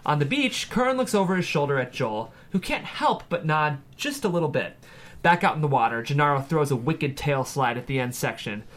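A man's voice: fairly high pitch, expressive, brisk, fairly bright tone. A man reading screenplay stage directions aloud at a table read, with dramatic scripted narration.

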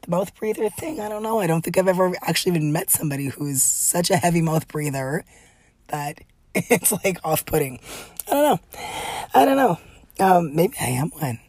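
A middle-aged woman with a breathy, raspy voice, chatting through a solo podcast with lots of fillers and laughs. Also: fairly low pitch, expressive, normal speed, darkish tone, dry recording. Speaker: podcast host. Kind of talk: casual solo podcast monologue.

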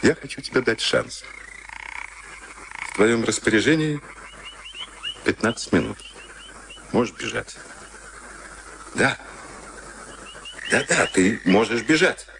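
An actor in a Soviet radio play, playing a sadistic, smug SS camp officer with a taunting, mock-polite manner. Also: male voice, mid-range voice, expressive, takes it slowly, vocal fry, pauses often, fairly bright tone, heavily acted. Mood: coldly smug. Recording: noisy archival radio drama recording.

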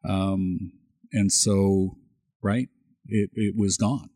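A man's voice: low-pitched, fairly even intonation, drawn-out words, dark tone, no room sound, clean studio sound. A middle-aged Canadian man whose first language was French, co-hosting a casual podcast chat and often repeating words as he starts a sentence. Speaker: podcast co-host.

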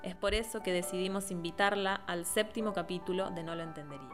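Woman narrator under loud music. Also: lowish voice, steady tone, quick pace. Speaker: podcast host. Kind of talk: scripted intro narration.

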